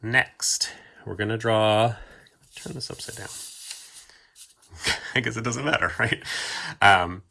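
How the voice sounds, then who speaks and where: A man's voice: on the low side, takes it slowly, vocal fry, pauses often, sharp tone. A man hosting a homemade drawing video for kids, talking casually and thinking aloud in an offhand way. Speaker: host of a children's storytime and drawing show.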